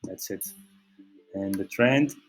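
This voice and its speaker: A man's voice: sits low, takes it slowly, faint music behind. A man with a Portuguese accent, a native Portuguese speaker, hosting a trading analysis and talking through charts with many fillers and restarts. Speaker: trading channel host. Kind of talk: screen-share market analysis.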